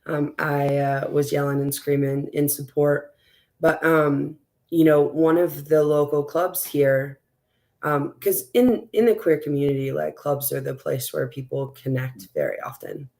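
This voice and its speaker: A woman with a raspy, strained voice, a school board candidate talking as a guest in a relaxed interview, rambling with lots of fillers and restarts. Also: low voice, a little slow. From West Texas. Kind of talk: casual conversational interview.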